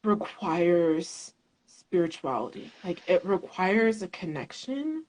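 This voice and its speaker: Woman guest, very low voice, thinks aloud with fillers, reflective. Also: big pitch swings, slow pace, darkish tone, rough recording. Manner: searching, many fillers and restarts, thoughtful. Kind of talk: reflective conversation.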